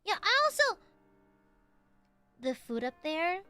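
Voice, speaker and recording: A woman's voice: very high voice, very animated, slow, sharp tone, faint music behind. A woman streamer with a nasal voice, chatting casually with her viewers, rambling, giggling and swearing freely.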